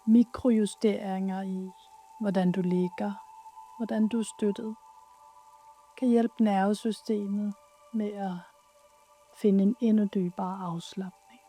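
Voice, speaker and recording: A woman leading a guided yoga nidra meditation in a soothing, soft, unhurried way with pauses. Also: medium pitch, steady tone, soft background music.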